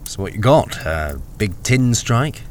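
A man's voice: lowish voice, big pitch swings, unhurried. A man playing a wary, hard-up army deserter who is the hero of a radio thriller. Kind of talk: radio thriller narration and dialogue. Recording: noisy, rain-soaked radio drama recording.

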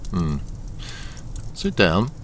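A man acting a gruff, secretive captain in a radio thriller, with brusque, probing questions. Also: sits low, wildly swooping pitch, very slow, fairly bright tone, exaggerated voice. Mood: businesslike. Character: gruff, secretive old mine-boss captain, shady employer. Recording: noisy radio drama with rain sounds.

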